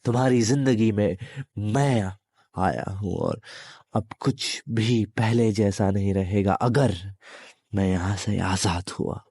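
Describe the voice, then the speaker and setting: A menacing demon in human form, voiced by a man, speaks low and very slowly in an intimate roleplay audio. He is possessive and taunting, with deliberate pauses and mock sympathy. The sound is close and dry. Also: darkish tone, dry recording, exaggerated voice. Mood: taunting, threatening. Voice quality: deep, smooth.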